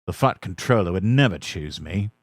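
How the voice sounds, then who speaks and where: A man voicing a big, proud male express engine in a storybook reading, grand and booming. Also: lively intonation, moderate pace, no echo. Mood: gloomy. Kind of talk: storybook character voice.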